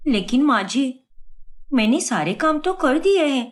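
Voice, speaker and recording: Woman playing a harried young daughter-in-law, very animated. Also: high-pitched, unhurried. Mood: exasperated, hurried. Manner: protesting, harried.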